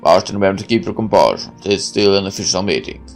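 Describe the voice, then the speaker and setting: A man voicing a stern, commanding factory master in a visual novel, measured, formal and authoritative. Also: low-pitched, lively intonation, voice pushed down, rough recording. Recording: music under the voice.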